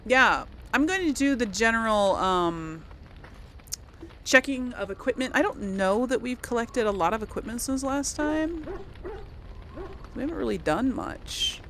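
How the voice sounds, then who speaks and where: A woman's voice: on the high side, expressive, unhurried, a bit bright, slight background noise. A woman hosting a casual let's play, rambling and thinking aloud as she comments on the game. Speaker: gaming video host.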